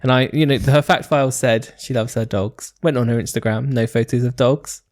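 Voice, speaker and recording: A man with a mid-pitched voice opens and hosts a casual podcast. He talks in a dry, wry way and teases his co-host. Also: fairly even intonation, fairly quick. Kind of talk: casual chat.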